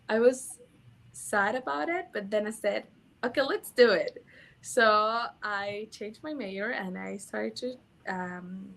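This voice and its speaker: A woman's voice: mid-range voice, gravelly, fairly bright tone. A young woman from Mexico with a Mexican Spanish accent, a native Spanish speaker, talking earnestly about intimacy as a guest, often restarting her phrases. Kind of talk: casual conversational interview.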